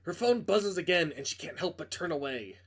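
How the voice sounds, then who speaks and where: A man's voice: on the high side, expressive, brisk, a bit bright, breathy edge. A man reading a visual novel aloud as a dramatic narrator, sometimes stumbling over words. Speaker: reader playing a visual novel.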